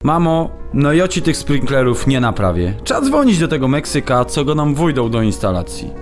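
An actor playing a put-upon, grudgingly obedient grown son with an in an audio drama. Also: male voice, mid-range voice, sing-song, moderate pace, over music, lightly acted. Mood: reluctant.

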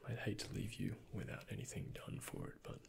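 A young man speaking in a breathy, hushed ASMR whisper, gently and unhurriedly, close to the microphone as in a doctor roleplay. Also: lowish voice, wildly swooping pitch, fairly quick, background noise. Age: young adult. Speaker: ASMR creator playing a doctor. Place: indoors, close before a dark backdrop.

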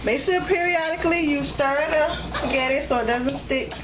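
A woman with a fairly high voice talks through a recipe as a home cooking host, instructing plainly one step at a time. She is recorded in a kitchen with strong room echo and clear cooking noise around her voice. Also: moderate pace. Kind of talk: hands-on cooking demonstration narration. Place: indoors, home kitchen at the stove. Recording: echoey kitchen, loud sizzle and stove noise.

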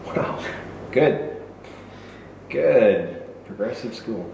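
Awkward adult, quiet fumbling talk, very low voice, noisy distant sound. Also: male voice, animated, extremely slow, gravelly, heavy reverb, dull audio, full character voice.

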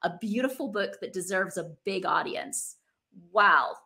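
Woman hosting an interview podcast on video call, avg pitch, very enthusiastic and chatty. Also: lively intonation, measured pace, vocal fry, bright, forceful tone. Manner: effusive, enthusiastic. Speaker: podcast host. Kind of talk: conversational interviewing. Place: indoor room, video call.

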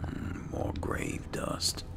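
A man playing a game and commenting to himself in a murmured, often near-whispered roleplay style. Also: extremely slow. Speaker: gaming channel host, player. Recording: game music behind the voice. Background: loud video game music.

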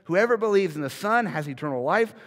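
A man's voice: high voice, expressive, quick pace. A man in his thirties preaching a sermon from a church pulpit, emphatic and repeating words as he builds each point. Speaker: pastor. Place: large church sanctuary, indoors. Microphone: podium mic, filmed from a far camera. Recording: long hall reverb.

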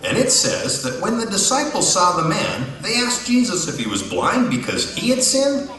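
A man's voice: high-pitched, huge pitch swings, moderate pace, bright, forceful tone, full character voice. A man voicing a patient, upbeat puppet teacher, instructive and emphatic as he corrects his partner in scripted dialogue. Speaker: co-host of a question-and-answer show. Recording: echoey hall, distant from microphone.

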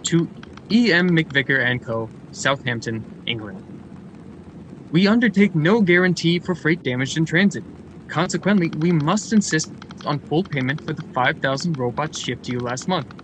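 An actor playing a brisk, eager factory general manager in a radio drama, formal and articulate. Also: male voice. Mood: formal, dictating. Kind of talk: stage-style radio drama acting. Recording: noisy radio drama recording, steady rumble.

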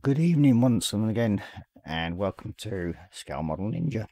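Man, 40s, deep voice, slightly croaky, dry close sound, relaxed livestream chatter. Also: normal speed, no echo. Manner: reads chat messages aloud, relaxed and rambling. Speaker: livestream host. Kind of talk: casual live-stream chat while painting miniatures. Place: small hobby room at home.

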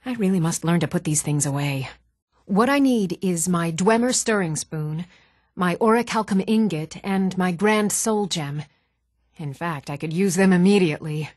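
An actress playing an earnest, even-toned woman in a fantasy video game. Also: on the low side, moderate pace, no echo, studio quality, natural voice. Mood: scatterbrained, eager. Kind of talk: video game character dialogue.

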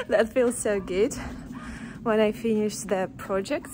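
Slow-talking young woman walking outdoors. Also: a little high, slight background noise. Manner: chatty, breaks into frequent laughs. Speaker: renovation vlogger. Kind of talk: casual walking vlog. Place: outdoors on a quiet village street. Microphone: phone held at arm's length.

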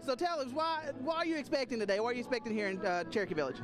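High-voiced man interviewing outdoors. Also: racing, music in the background. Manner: friendly, chatty. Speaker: TV meteorologist. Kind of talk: live TV interview. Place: outdoors at a festival. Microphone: handheld mic.

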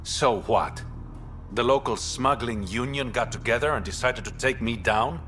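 An actor playing a smooth, wry, roguish smuggler in a space video game, with a teasing, self-mocking manner. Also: male voice, medium pitch, moderate pace, pushed and bright, close to an everyday voice. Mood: wry, suave. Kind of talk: video game dialogue acting. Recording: music behind the voice.

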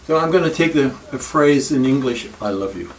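A man's voice: mid-range voice, animated. Older Danish-accented man lecturing in a library. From Denmark. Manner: thoughtful and wry, with pauses and self-deprecating asides. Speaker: speaker giving a library talk. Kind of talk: reflective public lecture. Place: wood-paneled library room. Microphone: far camera. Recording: distant room recording with faint noise.

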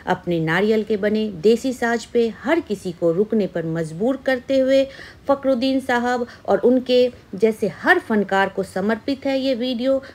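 A woman's voice: on the high side, a little mellow. A woman giving a scripted narration for a travel video.

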